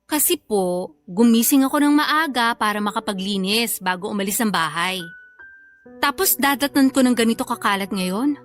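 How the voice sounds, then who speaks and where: A woman's voice: fairly high pitch, expressive, normal speed, a bit bright, soft background music. A woman playing an outspoken young working daughter in a radio drama, with a Filipino accent, polite but defiant. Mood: exasperated, reproachful. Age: young adult.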